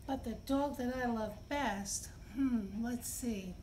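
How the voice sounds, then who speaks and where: High-pitched, slow-paced woman hosting children's story time. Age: middle-aged. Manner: simple, slow, expressive phrasing addressed to children. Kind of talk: read-aloud and talk for young children. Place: indoor library children's room. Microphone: camera a few feet away. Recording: distant camera mic, clear background noise.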